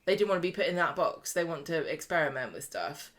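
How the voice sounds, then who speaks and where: A woman's voice: low-pitched, sing-song, quick pace, a bit bright. A woman co-hosting a casual podcast chat, talking in a chatty way with lots of restarts. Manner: chatty, laughs easily, many restarts. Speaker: podcast co-host.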